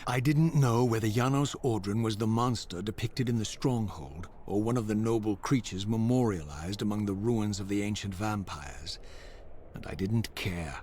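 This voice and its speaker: An actor playing a tormented vampire wraith in a video game, narrating solemnly with grave, formal, theatrical diction. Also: male voice, fairly low pitch, normal speed, darkish tone, slight background noise, close to an everyday voice. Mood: brooding, solemn. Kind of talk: dramatic scripted game narration.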